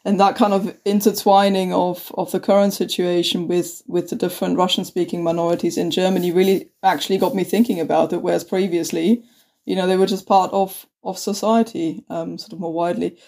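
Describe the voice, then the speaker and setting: A woman's voice: lowish voice, flat delivery, fairly quick, warm tone. A woman co-hosting a conversational history podcast, chatty, with many restarts and fillers. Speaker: podcast co-host.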